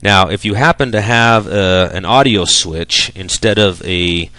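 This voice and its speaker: Low-voiced man explaining software. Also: a little slow, no echo. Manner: plain, step-by-step explaining. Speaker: tutorial presenter. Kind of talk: software tutorial, casual explanation.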